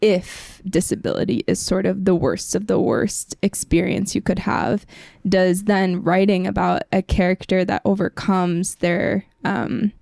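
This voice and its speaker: Mellow creaky woman, podcast panelist, thoughtful academic talk, close dry sound. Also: fairly low pitch, unhurried, no room sound.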